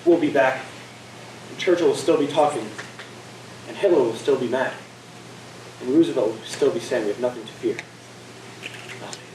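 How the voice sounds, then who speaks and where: A man's voice: medium pitch, normal speed, pauses often, dark tone, natural voice. A young man on stage playing a doomed, half-mad spaceman who declaims in a grand, exultant way. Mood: exultant, manic. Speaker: student stage actor. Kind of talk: theatrical stage monologue. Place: school theatre stage. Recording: echoey stage, distant mic, faint noise.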